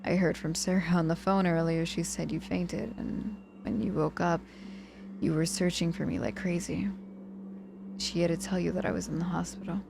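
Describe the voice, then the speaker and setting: Low-voiced, guarded wounded witch played by a woman. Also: fairly even intonation, vocal fry, background noise. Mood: weary, softly incredulous. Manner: halting, sighing, deflecting, grudgingly tender. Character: proud, guarded young witch, hurt in hospital, softening. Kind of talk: ASMR audio roleplay, intimate one-sided dialogue.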